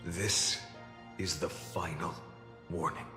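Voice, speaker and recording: A man acting a grimly resolute, world-weary immortal warrior in a video game, solemn and detached in short halting phrases. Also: deep voice, steady tone. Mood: cold threat. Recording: long echoing reverb, game music behind.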